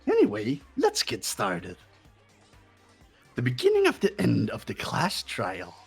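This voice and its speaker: A gleeful, sinister bear mascot played by a man leaps all over his pitch range in a singsong, theatrical way. He draws out his words slowly and lingers on them, and his tone is breathy and husky. The effect is both playful and menacing. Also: wildly swooping pitch, faint music behind, full character voice. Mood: playfully ominous. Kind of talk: fan voice acting of a game.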